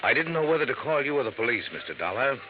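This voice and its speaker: An actor playing a puzzled, genteel businessman in a 1950s radio drama, polite and measured. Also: medium pitch, very animated, a crowd in the background, natural voice. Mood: puzzled, confiding. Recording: muffled, noisy old radio recording.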